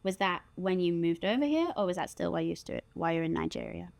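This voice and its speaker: A woman's voice: on the low side, lively intonation, fast, gravelly, darkish tone, dry recording. A woman hosting an interview, asking questions casually and encouraging her guest with short replies. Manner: encouraging, many short backchannels. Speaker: host interviewer.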